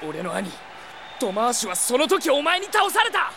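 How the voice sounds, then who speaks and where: An actor playing a hot-headed young avenger, a vengeful younger brother, in a Japanese audio drama. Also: male voice, very high-pitched, huge pitch swings, moderate pace, gravelly, noisy background, full character voice. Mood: vengeful, furious.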